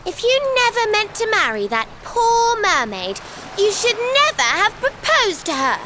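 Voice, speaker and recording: Very high-pitched, bossy little girl. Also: huge pitch swings, measured pace, background noise, exaggerated voice. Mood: scolding. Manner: blunt, indignant, haughty. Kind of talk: scripted video game voice acting. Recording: loud game music behind the voice. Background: loud music.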